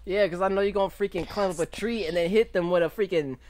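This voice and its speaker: A young man doing casual, joking reaction commentary, excitable and exclamatory, playfully repeating phrases, close on a desk microphone indoors. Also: high-pitched, quick pace. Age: young adult. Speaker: reaction video host. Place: small bedroom, indoors.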